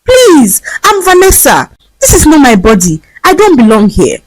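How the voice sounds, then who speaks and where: A woman voicing a spoiled, arrogant rich young woman who turns desperate and shrill. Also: wildly swooping pitch, normal speed, no room sound, rough recording. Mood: pleading. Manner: shrill, pleading cries.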